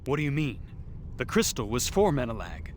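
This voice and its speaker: An actor playing a plain-spoken young adventurer hero in a fantasy video game. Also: male voice, medium pitch, very animated, a little fast, slight background noise, close to an everyday voice. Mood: questioning, determined.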